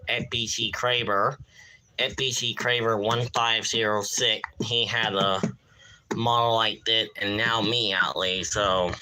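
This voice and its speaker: Slow, halting man with a bright voice. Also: fairly low pitch, slight background noise, poor audio. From United States. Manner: halting, repeats phrases. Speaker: YouTuber showing a weather radio collection. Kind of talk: casual live-stream show-and-tell.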